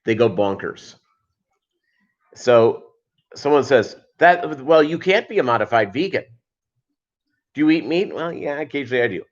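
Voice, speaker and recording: A man hosting a casual livestream, chatting with viewers in a halting way and wandering between asides. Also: medium pitch, animated. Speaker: livestream host. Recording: dull lo-fi livestream audio.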